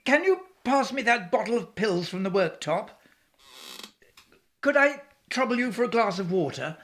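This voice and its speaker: A woman playing a philosophical, resolute female scientist in a radio play, with a measured, lecturing manner. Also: on the high side, sing-song. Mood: regretful.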